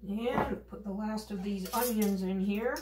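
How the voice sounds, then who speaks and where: A woman's voice: medium pitch, unhurried, noisy background. A middle-aged woman casually talking through her cooking step by step in her home kitchen, in short remarks to herself. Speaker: home cook vlogger. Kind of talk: casual narration while cooking. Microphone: camera across the counter. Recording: echoey kitchen from a distance. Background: clinking and chopping noises.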